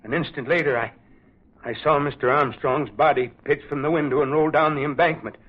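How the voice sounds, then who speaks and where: An actor playing a resentful, suspicious gardener who speaks insistently and accusingly in an old radio drama. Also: male voice, a little high, fairly even intonation, fairly quick, breathy edge. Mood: grave. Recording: muffled old radio recording.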